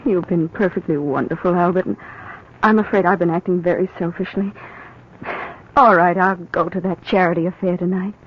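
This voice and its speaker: An actress playing a fragile, withdrawn young wife who speaks softly, in 1940s radio acting. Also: female voice, fairly low pitch, fairly even intonation, normal speed, a little hiss or hum, lightly acted. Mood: gentle. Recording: dull old radio recording.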